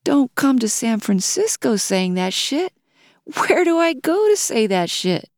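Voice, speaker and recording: A woman's voice: high-pitched, animated, slow pace, darkish tone, dry recording, clean studio sound, pitched above the performer's own voice, full character voice. A woman voicing a defiant female singer who addresses her crowd in a sardonic, provocative way. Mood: indignant.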